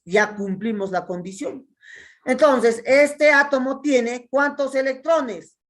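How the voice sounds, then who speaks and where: Woman, Peruvian accent, chemistry teacher, unhurried delivery, quizzes students step by step. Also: high voice. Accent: Peruvian Spanish. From Peru. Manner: asks students questions and answers them herself, counting step by step. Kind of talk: classroom lecture solving exercises.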